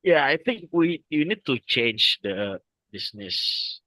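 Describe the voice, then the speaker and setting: A man's voice: medium pitch, sing-song, slow, pushed and bright, dry recording. A young man from North Sumatra with an Indonesian accent, answering in class hesitantly with many restarts. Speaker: student. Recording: dry, dull lo-fi sound.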